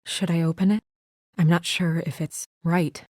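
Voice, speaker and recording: A woman playing an earnest, weary and conscientious young administrator in a video game, speaking in a measured, serious, sometimes hesitant way. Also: on the low side, fairly even intonation, darkish tone, no room sound, clean studio sound, lightly acted. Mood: serious, concerned. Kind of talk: naturalistic video game voice acting.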